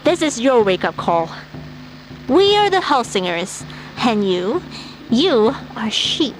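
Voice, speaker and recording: Defiant female rebel, audio drama, slow menacing delivery, urgent preaching, loud music behind. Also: on the high side, very animated, rough recording. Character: defiant rebel hacker woman leading vampire hunters. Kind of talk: dramatic pirate broadcast monologue.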